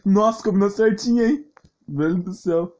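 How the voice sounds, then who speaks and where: A man's voice: sits high, normal speed, dark tone. A young man with a Brazilian accent giving casual, giggly commentary on a game video. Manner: informal, giggly. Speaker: gameplay video creator.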